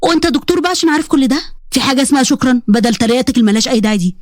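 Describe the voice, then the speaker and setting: A woman acting a defiant young bride, high-pitched and fast. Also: no echo, clean studio sound, close to an everyday voice. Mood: exasperated. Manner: sharp, indignant, mouthy delivery. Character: young orphaned bride, defiant, sarcastic, grieving.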